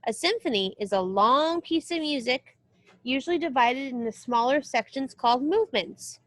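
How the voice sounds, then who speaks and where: A woman reading a children's music book aloud as a teacher, expressive and explanatory. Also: high voice, moderate pace, no echo. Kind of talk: read-aloud lesson for children.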